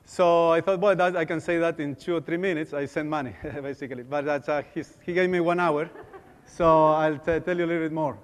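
A man's voice: a little high, steady tone, a little hiss or hum. Man speaking in a hall. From Argentina. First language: Spanish. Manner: halting, many fillers and restarts. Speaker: dentist giving a talk. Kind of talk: conference talk with prayer. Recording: echoey hall.

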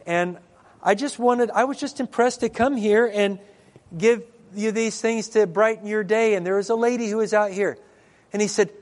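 A middle-aged man, a pastor, telling stories in a sermon and acting out the dialogue in a lively way. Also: high-pitched, normal speed. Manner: lively storyteller who acts out dialogue. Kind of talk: storytelling sermon. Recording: long reverb in a large hall.

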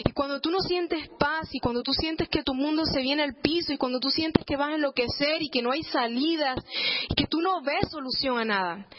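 High-voiced Puerto Rican woman giving testimony. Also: muffled recording, slight background noise. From Puerto Rico. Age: 30s or older. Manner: earnest, emphatic repetition. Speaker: church testimony speaker. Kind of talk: personal testimony to a congregation.

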